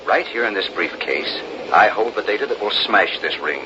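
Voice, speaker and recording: A man acts out a determined, confident American investigator in a vintage radio drama. His voice is low and swings widely in pitch, with formal, deliberate emphasis. The old recording is muffled and noisy. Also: animated, natural voice. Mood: grave, determined. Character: determined, confident one-man grand jury investigator, father. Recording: dull, noisy 1930s-40s radio recording.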